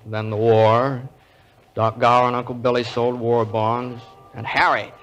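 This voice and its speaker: An actor playing an earnest, frustrated, quick-tempered small-town American man in a 1940s radio play, hesitant and excitable. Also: medium pitch, soft background music, natural voice, rough recording. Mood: weary, matter-of-fact. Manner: hesitant, repeating words, excitable. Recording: old radio play recording.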